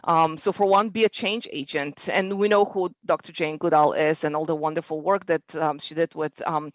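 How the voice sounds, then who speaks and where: A woman's voice: sits low, steady tone, normal speed, no room sound. A middle-aged woman from Bulgaria, giving a friendly conference keynote over a video call, with frequent ums and restarts. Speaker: keynote speaker and educator.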